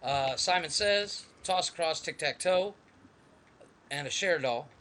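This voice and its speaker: A man's voice: on the high side, measured pace, sharp tone. A man co-hosting a casual live-stream podcast, easygoing as he reads lists aloud. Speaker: podcast co-host.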